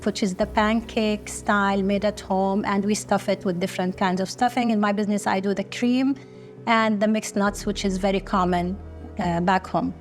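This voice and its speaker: A middle-aged woman from Kuwait, speaking slowly and earnestly with short pauses in a documentary interview. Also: mid-range voice, steady tone, faint music behind. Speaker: business founder.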